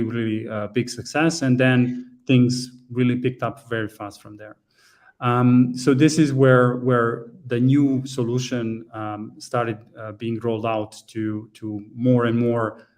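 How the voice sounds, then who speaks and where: A man from Serbia walks through his talk at a mid pitch, hesitating often with fillers and small restarts. His delivery is that of a conference presentation. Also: a little mellow. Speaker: guest speaker, frontend engineer.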